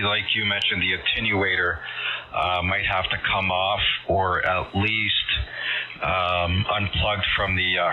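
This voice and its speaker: Hesitant low-voiced man on noisy ham radio. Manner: hesitant, halting. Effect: shortwave radio transmission. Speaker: amateur radio operator. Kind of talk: casual technical chat over ham radio. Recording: noisy narrow-band ham radio signal.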